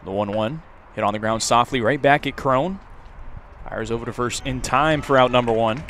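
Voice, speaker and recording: A male announcer delivers live baseball commentary in a medium-pitched voice. He is recorded outdoors at the ballpark, with noticeable ambient noise behind him. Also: normal speed, a bit bright.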